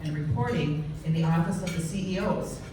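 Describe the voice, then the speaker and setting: Woman introducing a guest speaker, low voice, steady read, far mic in an echoey room with noise. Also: brisk. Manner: steady, reads out credentials. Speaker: club member introducing the speaker. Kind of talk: reading a formal introduction.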